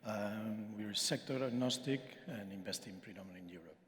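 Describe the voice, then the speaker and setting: A man with a lowish voice introduces himself on a conference panel, pausing often. The hall gives his voice a long reverb. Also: fairly bright tone, slight background noise. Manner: halting, many fillers. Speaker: fund partner. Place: large conference hall stage.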